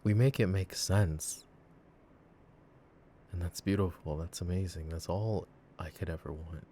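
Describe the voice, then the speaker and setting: A man acting a devoted, affectionate boyfriend in an intimate roleplay ASMR monologue, with a rambling, halting, tender way of talking. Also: low-pitched, dark tone, a little hiss or hum. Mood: tender, earnest. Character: devoted, silly, affectionate boyfriend, earnest and reassuring. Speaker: voice actor in an audio roleplay.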